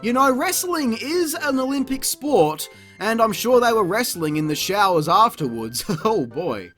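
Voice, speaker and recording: A man's voice: sits high, sing-song, unhurried, fairly bright tone, soft background music. A man narrating a scripted comedic review in a sarcastic, crude, mocking manner. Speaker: video reviewer.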